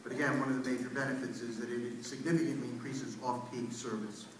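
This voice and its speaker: A man giving a formal, halting explanation as an official at a public meeting. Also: mid-range voice, fairly even intonation. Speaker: transit program official. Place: large indoor public meeting room. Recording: very echoey hall, distant mic, faint noise.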